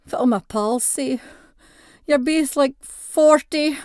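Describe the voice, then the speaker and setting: A woman's voice: high-pitched, takes it slowly, darkish tone, no echo, studio quality, voice pushed up. A woman voicing an embarrassed, indignant teenage boy with a North-East Scottish accent in a short story. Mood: scornful. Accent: North-East Scottish (Doric). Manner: indignant, incredulous.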